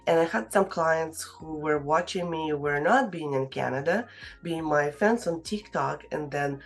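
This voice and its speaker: A woman's voice: low voice, a little slow, soft background music. A woman with a Russian accent, giving a casual interview in English with many hesitations and fillers. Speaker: real estate agent.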